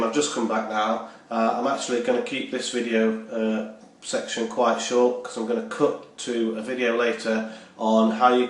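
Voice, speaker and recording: Young male fitness vlogger, slightly nasal with a cold, upbeat, medium pitch, lots of fillers. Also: slightly rough. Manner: upbeat, motivational, many filler ums and uhs; slow and careful when reading out numbers. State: nasal from a cold, sore throat. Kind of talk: casual vlog talk and explainer.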